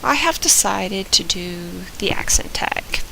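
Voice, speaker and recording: A young woman from Georgia with a softened Southern accent, chatting casually through an accent tag video with frequent "um" fillers. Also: medium pitch, lively intonation, slow, vocal fry, a little hiss or hum, rough recording. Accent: Southern US, softened by years in Oregon. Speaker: vlogger.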